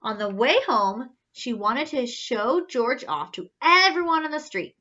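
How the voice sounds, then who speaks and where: A woman's voice: on the high side, sing-song, unhurried, bright, forceful tone, dull audio. A woman reading a storybook aloud to children in a theatrical way, asking questions and reacting with wonder. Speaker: story reader, children's teacher-like host.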